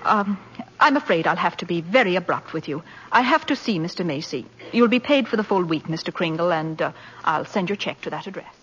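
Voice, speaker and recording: A woman's voice: on the low side, lively intonation, brisk, a bit bright, a little hiss or hum. An actress playing a guarded, businesslike divorced mother in a 1940s radio drama, earnest, polite and a little hesitant. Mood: flustered. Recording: dull old 1940s radio recording.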